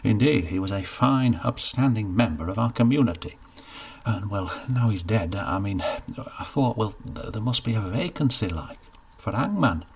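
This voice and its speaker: A man's voice: on the low side, expressive, normal speed, darkish tone, a little hiss or hum, pitched above the performer's own voice. A man voicing an eager young rustic who wants to be hangman, earnest and broad in speech. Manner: earnest, broad dialect, pleading.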